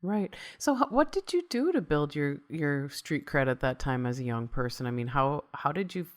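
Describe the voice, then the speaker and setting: A woman hosting a podcast interview, who keeps up steady affirmations and asks follow-up questions. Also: deep voice, normal speed. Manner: attentive, lots of short affirmations and follow-up questions. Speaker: podcast host. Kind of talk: interview host.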